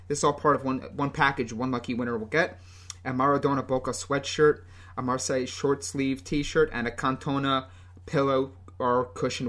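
A young American man co-hosting a soccer podcast, speaking in a relaxed, rambling way with many ums and restarts. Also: mid-range voice. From United States. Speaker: podcast co-host. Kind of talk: casual soccer podcast talk.

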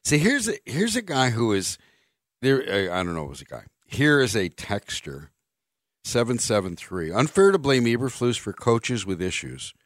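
A man's voice: medium pitch, sing-song, normal speed, no room sound. A middle-aged man hosting sports talk radio from a studio mic, blunt and sarcastic as he reads listener texts and gives his opinions. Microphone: studio microphone.

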